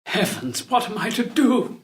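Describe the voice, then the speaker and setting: An actor playing an anxious, honor-bound old courtier in an urgent, theatrical reading of a stage play. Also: male voice, high voice, big pitch swings, unhurried. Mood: anxious aside. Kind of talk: unrehearsed dramatic play reading. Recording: echoey room.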